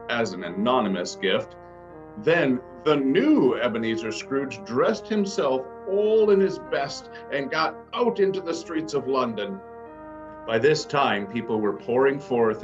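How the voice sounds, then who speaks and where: A man playing a genial radio playhouse narrator, telling the story in scripted old-time drama style. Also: mid-range voice. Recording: dull lo-fi sound under music.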